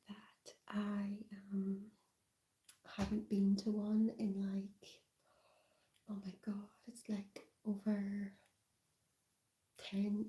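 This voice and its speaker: A woman with a softened Northern Irish accent, whispering in a relaxed ASMR livestream and rambling with plenty of fillers. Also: medium pitch, low-quality recording. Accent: Northern Irish, softened and mixed. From Northern Ireland. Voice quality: soft whisper. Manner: halting, rambling, with many fillers.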